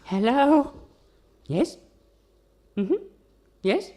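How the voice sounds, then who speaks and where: A woman's voice: high voice, sing-song, extremely slow, mellow, exaggerated voice. A woman playing a meek, literal-minded villain's sidekick in a comic radio play. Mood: obliging. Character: eager, dim, obedient villain's sidekick.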